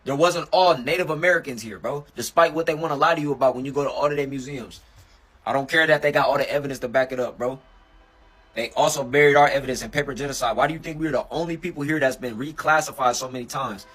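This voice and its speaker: A man's voice: medium pitch, fast, poor audio. A man in a heated, casual rant who keeps saying "bro". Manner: forceful, repeats "bro". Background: loud guitar music.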